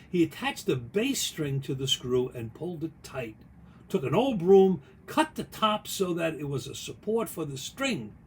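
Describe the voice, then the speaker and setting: A man reading their own picture book aloud in an expressive, folksy way, with chatty asides along the way. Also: a little high, a little hiss or hum, poor audio. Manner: folksy, expressive, pausing to comment on the story.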